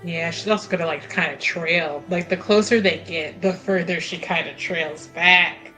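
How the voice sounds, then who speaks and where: A woman's voice: on the low side, steady tone, normal speed, sharp tone, soft background music, poor audio. A woman playing a tabletop roleplaying game, talking casually and narrating her character's actions.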